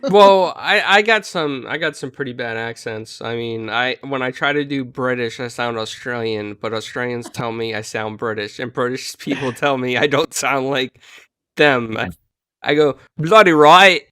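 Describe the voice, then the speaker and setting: A man co-hosting an online interview, animated and poking fun at himself. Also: mid-range voice, moderate pace, clean tone, no room sound, clean studio sound. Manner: animated, self-mocking. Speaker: co-host. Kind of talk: casual chat.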